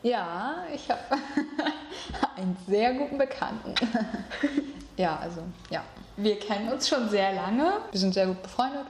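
A woman's voice: medium pitch, expressive, measured pace, echoey room. A woman answering a street interview, shy and prone to giggling. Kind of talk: unscripted vox pop answer.

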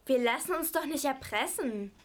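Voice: sits high, lively intonation, moderate pace, fairly bright tone. A female performer playing a shrewd, stern mother in an amateur school radio play.

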